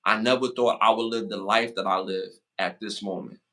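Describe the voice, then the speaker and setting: Man, forties, podcast host, lowish voice, bright forceful tone, repeats himself for emphasis. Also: steady tone. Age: 40s. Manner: hypes the chat with rapid shout-outs; preachy, repeats phrases for emphasis. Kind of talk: casual motivational podcast talk.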